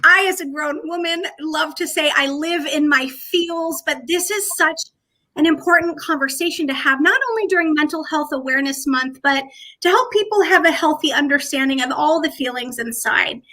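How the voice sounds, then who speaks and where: A woman's voice: high voice, moderate pace, bright, forceful tone. A woman hosting a livestream on a video call, bubbly and effusive. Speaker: host.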